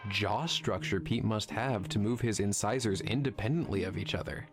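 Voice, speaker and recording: A man's voice: lowish voice, moderate pace, faint music behind, close to an everyday voice. A man playing a sarcastic, irreverent film nerd in a visual novel game, wry and mischievous in his needling.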